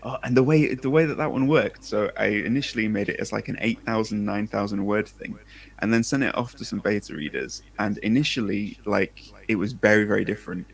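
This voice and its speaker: A man's voice: on the low side, brisk, creaky. A man who is an author chatting casually on a livestream in a rambling, informal, self-deprecating way. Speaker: debut sci-fi author, livestream guest. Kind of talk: casual chat.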